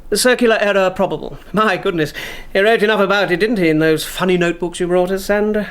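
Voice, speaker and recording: An actor playing a probing, pedantic intelligence interrogator in a radio drama, precise and needling. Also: male voice, high-pitched, fast talker, clear, resonant tone, lightly acted. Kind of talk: naturalistic radio drama acting.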